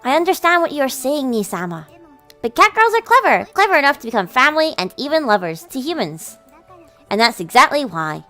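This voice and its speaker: A woman voicing a precocious, devoted little sister from a visual novel, with a soft, polite, doting manner. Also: high-pitched, big pitch swings, brisk, soft background music, voice pushed up, exaggerated voice. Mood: earnest, troubled. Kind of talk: visual novel character voice in a let's play.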